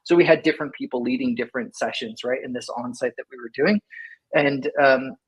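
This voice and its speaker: Man, middle-aged, husky voice, rambling casual interview talk. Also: mid-range voice, fairly quick, rough recording. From Sacramento, California. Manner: rambling, lots of "like" and "right?". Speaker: engineering leader, guest.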